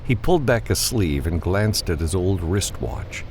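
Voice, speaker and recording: A man's voice: sits low, big pitch swings, unhurried, darkish tone, slight background noise. A man reading scripted fiction as an audiobook narrator.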